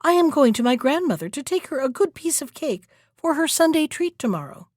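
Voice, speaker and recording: A woman's voice: high-pitched, big pitch swings, brisk, no room sound, clean studio sound, voice pushed up. A woman playing an innocent, trusting, cheerful little girl in a storybook tale. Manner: bright-eyed, naive, exclamatory.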